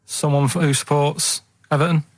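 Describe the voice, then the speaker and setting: Higher-pitched Mancunian man, dry close sound. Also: flat delivery, normal speed, no echo. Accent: Manchester. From Manchester, England. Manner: hesitant, rambling, plain-spoken. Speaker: radio show regular. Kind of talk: casual chat. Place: radio studio.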